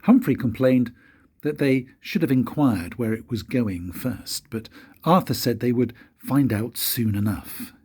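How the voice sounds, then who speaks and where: An older man reads a children's story like a grandfather at storytime. His voice is soft-edged and raspy, and it rises and falls expressively. He takes his time, pausing for drama. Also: medium pitch, lively intonation. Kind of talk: storybook narration.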